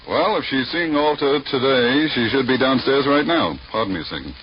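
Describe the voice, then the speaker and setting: A man's voice: medium pitch, lively intonation, fairly quick, lightly acted. A man playing a genial, joking prison warden in a 1940s radio drama, with a hearty manner. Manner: hearty, jocular. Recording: noisy, muffled vintage radio recording.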